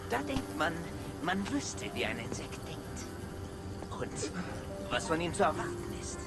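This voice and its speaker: A menacing Nazi villain in a video game. He is slow and theatrical, swinging widely in pitch with open contempt, over loud music. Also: sing-song. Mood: seething contempt. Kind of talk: video game cutscene acting.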